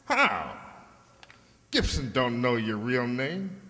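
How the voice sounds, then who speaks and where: An actor playing a hot-tempered small-time crook with gruff, slangy, bullying talk in an old-time detective radio play. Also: male voice, medium pitch, wildly swooping pitch, takes it slowly, pushed and bright, full character voice. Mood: dismissive, scheming. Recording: echoey hall with long reverb.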